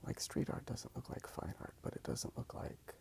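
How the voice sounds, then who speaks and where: A man speaking softly in a casual, rambling ASMR style as he draws. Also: normal speed. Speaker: ASMR video creator. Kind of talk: whispered ASMR rambling while drawing.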